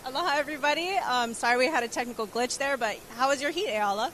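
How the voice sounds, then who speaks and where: A woman interviewing surfers on the beach, bright and upbeat. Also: sits high, fast, a little hiss or hum. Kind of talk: sports interview.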